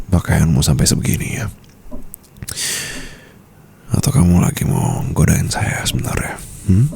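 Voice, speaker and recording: Mafia boss husband, male actor: deep, creaky voice, flirtatious and possessive, intimate ASMR roleplay delivery. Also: dark tone, slight background noise, exaggerated voice. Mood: teasing, amused. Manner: slow, teasing murmur, mixing in English phrases.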